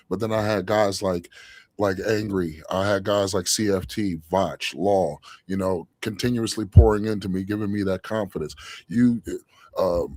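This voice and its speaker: A man's voice: fairly low pitch, darkish tone, no room sound. A man co-hosting a fan podcast, talking earnestly in casual chat and often adding "you know what I'm saying". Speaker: co-host of a fan show.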